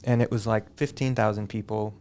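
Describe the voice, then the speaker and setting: A Canadian-born man with a slight Canadian accent, a teacher chatting as a guest, telling stories in a halting way. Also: fairly low pitch, fairly even intonation, a little mellow, a little hiss or hum. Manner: chatty, storytelling, often halting. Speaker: high school teacher, guest. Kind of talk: casual interview chat.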